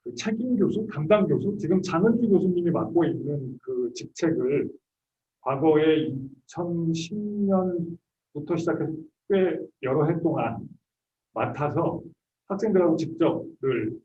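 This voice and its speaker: A man's voice: a little high, animated, measured pace, dull audio, a little hiss or hum. A man in his fifties from South Korea, a professor giving an introductory lecture in a conversational, explanatory way. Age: 50s. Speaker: medical school professor. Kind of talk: introductory lecture to graduate students. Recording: echoey room, distant microphone.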